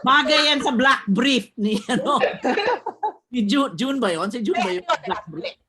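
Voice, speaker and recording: A woman's voice: fairly high pitch, animated, moderate pace, background noise. A woman with a Filipino accent talking casually with a group on a video call. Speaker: participant in a script table read. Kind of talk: casual group discussion, mixing Tagalog and English. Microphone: video call microphone.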